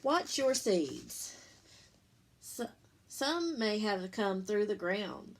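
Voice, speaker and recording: A woman reads a children's book aloud at a slow, measured pace. Her mid-pitched voice has a noticeable creak. She phrases things simply and deliberately, like a teacher explaining to young listeners. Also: big pitch swings. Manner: simple, deliberate reading, pausing between phrases, asking listeners questions. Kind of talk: read-aloud storytime with explanatory asides.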